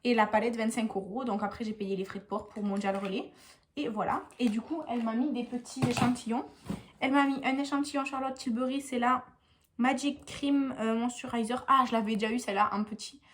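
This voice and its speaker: A woman's voice: on the high side, fairly even intonation, a little fast, slight background noise. Stuffy-nosed young woman rambling casually. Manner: chatty and meandering, full of hesitations. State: stuffy nose from a cold. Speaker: beauty vlogger. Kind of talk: casual vlog chat.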